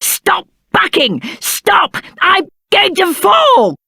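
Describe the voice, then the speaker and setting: A man's voice: extremely high pitch, big pitch swings, drawn-out words, creaky, pushed and bright, dry recording, clean studio sound, exaggerated voice. A male actor playing a spoiled, cowardly young prince in a video game, with a British accent and haughty, whiny, aristocratic diction. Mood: furious, shrill. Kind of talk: theatrical video game character acting.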